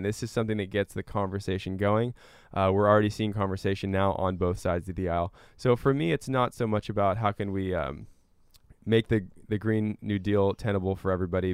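Male interview guest, lowish smooth voice, earnest explainer, conversational, dry recording. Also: no echo. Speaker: interview guest, climate activist and scientist.

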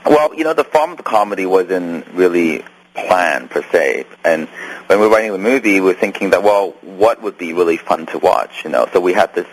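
Male guest, Hong Kong accent, deep and husky, meandering answers. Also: expressive, a little fast, low-quality recording. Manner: rambling, many restarts and fillers. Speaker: filmmaker guest. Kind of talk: interview.

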